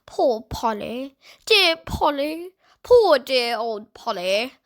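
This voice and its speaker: Pet parrot for a storybook, voiced by a woman: high, coy, repetitive, pitying. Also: very animated, slow, exaggerated voice. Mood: mock pitying.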